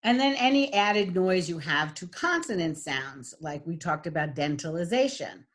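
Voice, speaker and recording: A middle-aged woman with a husky voice, giving a recorded lecture as a voice and speech teacher, with frequent fillers. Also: lowish voice, normal speed. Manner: demonstrates voice types, lots of fillers.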